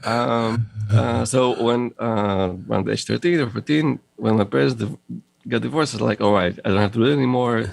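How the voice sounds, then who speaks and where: Middle-aged guy, russian-israeli accent, kinda gravelly low voice, dry close mic, lots of uhs. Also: no room sound. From Moldova. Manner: halting, many fillers and restarts, laughs often. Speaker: guest, audio company founder. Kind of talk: casual interview conversation.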